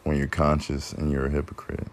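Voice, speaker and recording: A man with a very deep, bass-heavy voice, co-hosting a podcast in casual chat. His delivery is laid-back and playful. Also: completely flat delivery, brisk. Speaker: podcast co-host.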